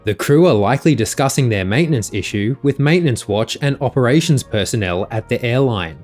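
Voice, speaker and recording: A man reading a scripted explanatory narration in a measured, precise, instructional way. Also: medium pitch, lively intonation, a little mellow. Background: music.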